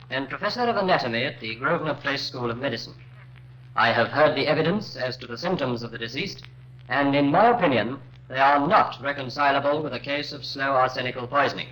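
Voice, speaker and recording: An actor playing an assured Victorian professor of anatomy giving testimony in a radio drama, formal and precise. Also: male voice, mid-range voice, a little fast, fairly bright tone, natural voice, poor audio. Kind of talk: 1950s radio crime drama. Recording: old radio recording with faint hum.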